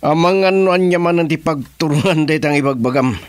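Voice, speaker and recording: An actor with an Ilocano accent playing a bossy, gloating, hard-drinking boss in a radio drama. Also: male voice, fairly high pitch, fairly quick, smooth, close to an everyday voice. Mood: irritated. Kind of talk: Filipino radio drama acting.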